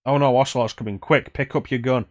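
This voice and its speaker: A man's voice: medium pitch, steady tone, fast talker. A man giving casual, joking live commentary as he streams a video game, with some swearing. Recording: music behind the voice. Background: video game music.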